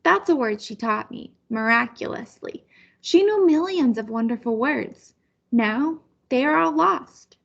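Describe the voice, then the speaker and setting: A woman's voice: high-pitched, expressive, unhurried, vocal fry, slightly rough, muffled recording. A woman reading a children's picture book aloud as a storyteller. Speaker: storybook reader.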